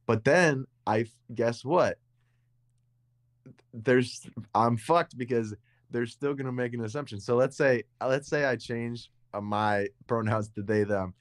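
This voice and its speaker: A young man with a deep voice, co-hosting a casual podcast chat and explaining at length, with frequent restarts and repeated words. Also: a little slow, dry recording, studio quality. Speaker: podcast co-host. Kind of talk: casual conversation.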